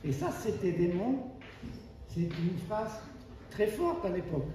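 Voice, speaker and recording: A man's voice: high voice, very animated, a little slow, warm tone, slight background noise. A man from Argentina with an Argentine Spanish accent, Spanish as his first language, preaching a sermon in an emphatic way, repeating key phrases and asking rhetorical questions. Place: church hall. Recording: echoey church hall, mic far off.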